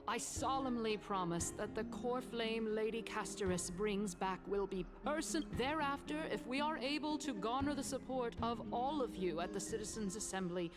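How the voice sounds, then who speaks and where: A woman's voice: a little high, moderate pace, hardly any pauses, lightly acted. A woman playing a proud, persuasive council elder in a fantasy game, speaking in formal, grandiose oratory. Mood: grand, persuasive. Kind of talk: scripted fantasy game acting. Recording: game music behind the voice.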